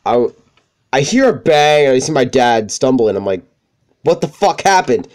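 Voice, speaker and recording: A young man with a high-pitched voice, swearing freely as he tells rambling stories over gameplay. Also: very animated, moderate pace, a little mellow. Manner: profane, rambling, self-deprecating. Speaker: let's play commentator. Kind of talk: casual gameplay commentary with storytelling.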